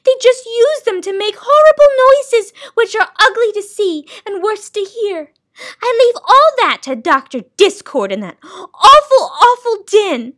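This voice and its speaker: A woman's voice: very high-pitched, sing-song, measured pace, a bit bright, voice pushed up, full character voice. A woman reading a storybook as a fussy, imperious, wistful female keeper of sounds, with dramatic, bossy emphasis. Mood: indignant, stubborn.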